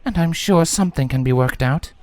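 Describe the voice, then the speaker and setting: A man's voice: on the high side, a little fast, a little mellow, voice pushed up, natural voice. A man voicing a courteous, thoughtful adult female pony princess in a fantasy storybook.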